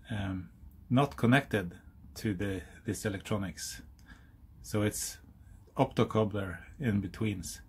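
Man, 40s, Norwegian accent, husky, halting non-native English, casual DIY explainer. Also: fairly low pitch. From Norway. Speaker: hobbyist YouTuber. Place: outdoors on a hilltop, then indoors at a workbench.

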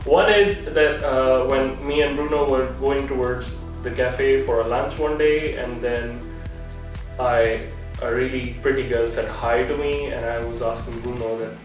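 A man's voice: medium pitch, steady tone, unhurried, music in the background. A young man from Pakistan, telling funny stories to friends in a casual vlog, laughing often and restarting his sentences. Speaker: vlog host. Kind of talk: casual storytelling among friends. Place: living room in a flat, indoors. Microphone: far camera. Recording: very roomy, from a far-off camera mic.

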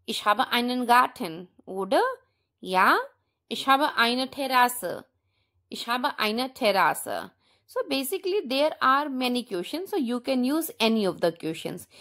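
A woman with an Indian accent teaching a language lesson in a deliberate, instructional way, repeating example sentences. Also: fairly high pitch, sing-song, bright, forceful tone.

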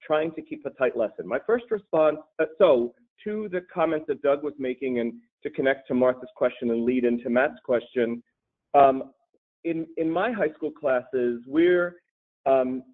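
A male high school language teacher talking on a panel, with wry, self-deprecating humor. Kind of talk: casual panel discussion. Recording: tinny phone-like video call audio.

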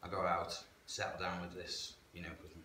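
A low-voiced man tells his story in a frank, plain-spoken, conversational way. He is picked up by a distant camera in a reverberant church hall, and a steady hiss sits under his voice. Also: normal speed. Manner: frank, plain-spoken, chatty with local slang. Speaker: guest sharing life story. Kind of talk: candid personal testimony. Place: church hall, indoors, medium-sized. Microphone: far camera. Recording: echoey hall with background hiss.

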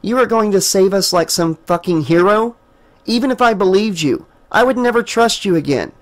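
A man's voice: animated, a little slow, full character voice. A man reading an audiobook, voicing a frantic, furious young woman in a raised, strained voice. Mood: scornful. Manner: high strained pleading and shouting.

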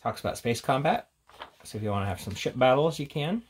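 A man's voice: medium pitch, expressive, fairly quick, a little hiss or hum. A man giving an informal, offhand show-and-tell commentary as a reviewer, casually talking through what is in front of him. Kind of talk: casual hands-on book showcase commentary.

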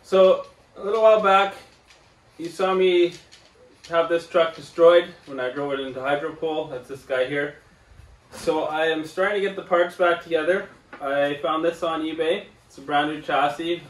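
A man in his forties hosting a casual hobby vlog, talking viewers through his work in a relaxed, chatty way from a sunroom at home. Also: on the high side, poor audio. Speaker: vlog host. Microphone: camera at a distance.

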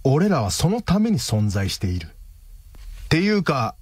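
An actor playing a blunt, literal-minded male agent with a deadpan, earnest manner in a comedic radio drama. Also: fairly high pitch, measured pace, husky, dry recording, full character voice. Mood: puzzled, blunt.

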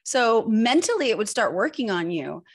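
A woman's voice: fairly high pitch, sing-song, normal speed. American woman candidly telling her story. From Northern California, United States. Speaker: guest, personal branding image expert. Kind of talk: conversational interview, personal storytelling. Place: indoor room at home. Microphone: video call microphone.

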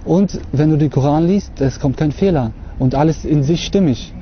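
A young man from Russia talking to camera outdoors on a lawn, earnest and hesitant, filling pauses often. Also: fairly high pitch, muffled recording, slight background noise. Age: young adult. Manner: hesitant, many fillers, earnest. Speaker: convert giving a testimony. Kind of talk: unscripted talk to camera.

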